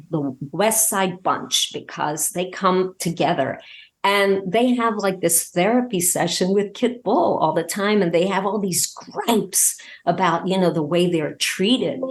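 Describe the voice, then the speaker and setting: A woman with a slightly low voice talks as a guest in a casual interview. She explains at a very unhurried pace, feeling her way through phrases. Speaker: guest, voice actor and scriptwriter.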